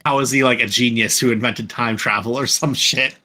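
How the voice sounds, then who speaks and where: A man in a casual podcast chat, talking in an animated way and thinking aloud with questions. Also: mid-range voice, fairly quick. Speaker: podcast co-host.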